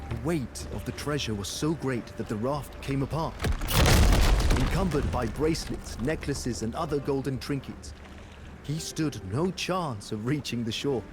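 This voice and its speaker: An actor playing a passionate, grave war commander and devoted lover, narrating a game cutscene in a solemn, theatrical way. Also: male voice, medium pitch, unhurried, very noisy, natural voice. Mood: solemn, reflective. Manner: solemn, theatrical storytelling, intense. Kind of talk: dramatic scripted first-person game cutscene narration. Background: music.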